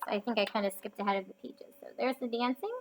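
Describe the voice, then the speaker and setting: A woman reading a children's picture book aloud in an expressive, emphatic storytelling manner. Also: on the high side, fast talker, poor audio.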